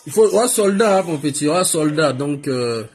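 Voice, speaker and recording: A man from Congo-Brazzaville hosting a casual live call-in, answering loudly in short bursts. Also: a little high, expressive, normal speed. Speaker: live stream host.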